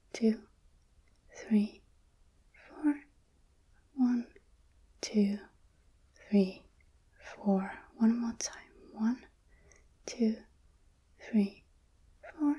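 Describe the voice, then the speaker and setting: Calm female breathing instructor, whispered delivery, slow counts, mellow and soft. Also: a little high, slightly rough. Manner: calm, counts slowly aloud. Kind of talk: whispered guided breathing lesson.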